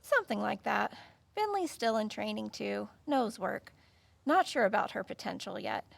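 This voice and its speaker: An actress playing a terse, no-nonsense dog handler in an audio drama, clipped and matter-of-fact. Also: female voice, medium pitch, animated, moderate pace, creaky, no echo. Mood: brisk, guarded. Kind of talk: naturalistic audio drama acting.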